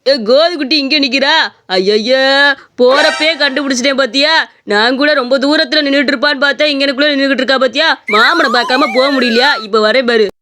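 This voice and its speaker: A woman's voice: high-pitched, fast talker, sharp tone. A woman playing a lovestruck, teasing village uncle with a blunt manner in a comic skit.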